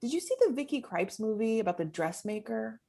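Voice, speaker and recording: A woman's voice: fairly high pitch, lively intonation, a little fast, vocal fry, dark tone. A woman playing a shady, brash poison seller in a comedy table read, cocky and wisecracking. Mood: cocky, teasing.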